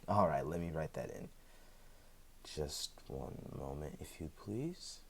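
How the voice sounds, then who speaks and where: A man acts out an immortal man with a very deep voice in a roleplay audio drama. He is chatty and a little sheepish, dodging and hesitating as he talks, with a dry sense of humor. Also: darkish tone, slight background noise, full character voice. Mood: hesitant, matter-of-fact. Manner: conversational, hesitant, with frequent chuckles and dry humor. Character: chatty, sheepish, evasive immortal man, freshly back from death. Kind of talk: ASMR roleplay audio drama, one side of a conversation.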